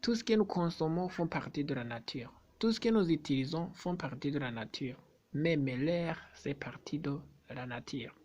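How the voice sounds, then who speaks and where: A man whose first language is not French, speaking to his subscribers in a vlog in a slow, deliberate way, often repeating himself and hesitating. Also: on the high side, expressive, darkish tone, highs cut off. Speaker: YouTuber.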